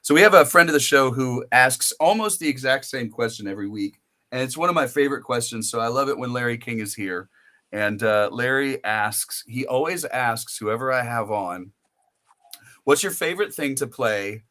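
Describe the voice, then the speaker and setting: A man's voice: mid-range voice, moderate pace, pushed and bright. An American man hosting a casual interview, telling rambling stories full of restarts. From United States. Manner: rambling, storytelling with many restarts. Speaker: podcast host.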